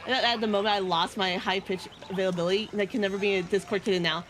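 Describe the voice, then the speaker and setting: A woman's voice: mid-range voice, steady tone, racing, a bit bright, slight background noise. A woman around thirty with a nasal voice, chatting casually and giggling as she streams a game. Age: about 30. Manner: giggly, rambling, self-teasing. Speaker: VTuber streamer. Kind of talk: casual live-stream chat while gaming.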